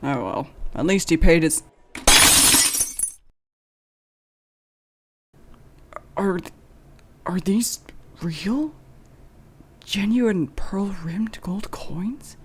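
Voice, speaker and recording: A man's voice: high-pitched, animated, slow pace, pauses often, a little mellow, exaggerated voice. A man playing a weary bartender in an audio drama, casual and reluctant in manner. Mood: surprised. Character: weary, gossipy tavern bartender. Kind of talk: dramatic audio fiction acting.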